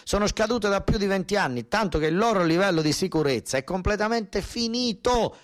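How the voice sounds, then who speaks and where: A man's voice: sits high, lively intonation, fairly quick. A man with a southern Italian accent delivering a theatrical narrative monologue, insistent and repeating words for emphasis. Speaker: stage actor and storyteller.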